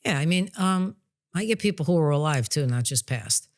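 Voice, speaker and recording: A woman speaking as a psychic medium guest, explaining things in a casual, conversational way with halting restarts. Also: sits low, expressive.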